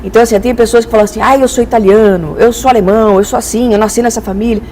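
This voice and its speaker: A woman's voice: on the high side, fairly even intonation, rapid-fire, dark tone, slight background noise. A Brazilian woman speaking as an expert guest in a conversational, didactic way, illustrating with acted-out examples. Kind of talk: conversational explanatory interview.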